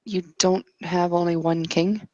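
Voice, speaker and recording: A woman's voice: lowish voice, steady tone, dark tone, no echo, lo-fi sound, natural voice. A woman playing a nervous, apologetic character in a tabletop roleplay, speaking hesitantly. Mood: uneasy, probing. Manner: hesitant, halting questions. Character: nervous, apologetic young woman newly enchanted to see Fae.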